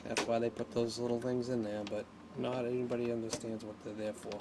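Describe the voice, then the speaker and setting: A man giving a casual, unscripted hands-on tutorial, talking haltingly as he thinks aloud while working. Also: mid-range voice, level tone, background noise. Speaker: hobbyist presenter of a radio repair video.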